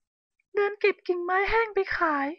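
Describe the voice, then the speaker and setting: A storyteller putting on a high, drawn-out voice for a greedy village wife who nags her husband. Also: dry recording, muffled recording, close to an everyday voice. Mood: nagging.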